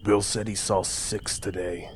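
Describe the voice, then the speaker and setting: A man playing a conspiratorial logger in an audio horror drama, talking in a low, confiding way. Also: flat delivery, a little slow, slight background noise, close to an everyday voice. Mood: hushed, grim. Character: male logger, conspiratorial crew mate sharing grim rumours.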